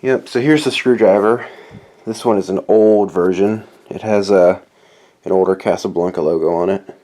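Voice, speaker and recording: A man's voice: sits low, moderate pace, dark tone, a little hiss or hum. A man casually showing off a ceiling fan in his collection, talking in a rambling, hesitant way, sounding a bit nasal and tired after dental work. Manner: rambling, hesitant, with many restarts. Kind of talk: casual show-and-tell vlog. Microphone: camera on a tripod.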